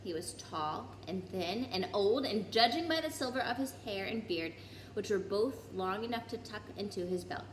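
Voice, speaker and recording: A woman reading a children's story aloud in an expressive way, with occasional small stumbles over the text. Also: medium pitch, moderate pace. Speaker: story reader. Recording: roomy echo, clear background noise.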